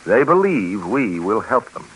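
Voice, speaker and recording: An American man narrating a 1950s radio documentary drama in an earnest, measured, rhetorical manner. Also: lowish voice, sing-song, fairly bright tone, a little hiss or hum, low-quality recording. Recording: vintage radio recording, music.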